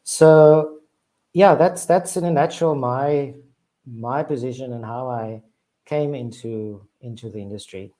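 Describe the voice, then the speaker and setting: Slow, mellow South African man. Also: mid-range voice, low-quality recording. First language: Afrikaans. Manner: hesitant, many restarts and fillers. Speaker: guest. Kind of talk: casual interview talk. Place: office.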